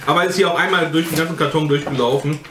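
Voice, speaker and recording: A man in his thirties chats through a food box unboxing, rambling and filling gaps with hesitations. His voice sits a little high for a man. The room around him is echoey, with a faint hiss underneath. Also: fairly even intonation, rapid-fire. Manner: chatty, rambling, fillers, loose grammar. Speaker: food box vlogger, co-host. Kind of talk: casual unboxing chat. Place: indoor kitchen or living room, seated at a table.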